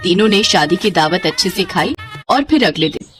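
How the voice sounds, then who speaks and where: A woman narrating a scripted children's story in an expressive storyteller's manner. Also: on the low side, normal speed, soft background music.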